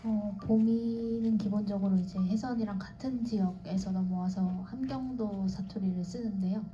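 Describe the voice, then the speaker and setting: A woman actress calmly explaining her character at a cinema stage Q&A. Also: mid-range voice, normal speed, slight background noise. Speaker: actress at a screening Q&A. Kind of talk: panel talk. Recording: muffled hall recording.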